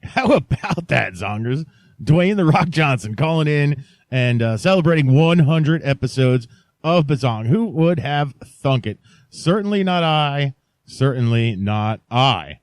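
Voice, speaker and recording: A male podcast host with a mid-range voice and a very dry, close recording. He rambles casually and haltingly, with a mock-sincere joking manner. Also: medium pitch, expressive, no room sound.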